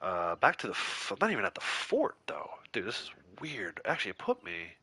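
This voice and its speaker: A man's voice: lowish voice, wildly swooping pitch, fairly quick, pushed and bright, breathy edge, no room sound. A man streaming a video game, chatting casually with his viewers while congested and a bit nasal from a cold. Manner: chatty, reads out and answers viewer chat, laughs easily. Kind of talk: casual livestream chat while playing.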